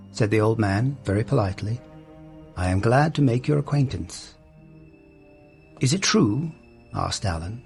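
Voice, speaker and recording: A man reading a short story aloud in a measured, unhurried way. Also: mid-range voice, vocal fry. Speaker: reader.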